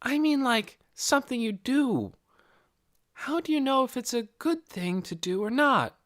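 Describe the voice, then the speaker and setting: Narrator voicing an earnest, high-pitched young girl. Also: animated, a little slow, fairly bright tone. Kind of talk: audiobook character dialogue.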